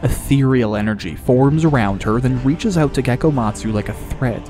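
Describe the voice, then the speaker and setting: A man's voice: medium pitch, normal speed. A man narrating a scripted fantasy audiobook in a dramatic storytelling style. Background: music.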